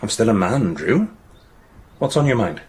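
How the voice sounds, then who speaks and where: An actor playing a troubled, gentle modern village priest in a radio drama, earnest and a little hesitant. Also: male voice, fairly low pitch, sing-song, moderate pace, husky, heavily acted. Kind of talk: naturalistic radio drama dialogue.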